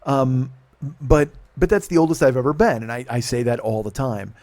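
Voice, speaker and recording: A man's voice: mid-range voice, lively intonation, moderate pace, a little mellow. A man in his late forties hosting a casual podcast chat, grumbling and swearing as he mocks himself. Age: 40s. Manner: profane, grumbling, self-mocking. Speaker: podcast host.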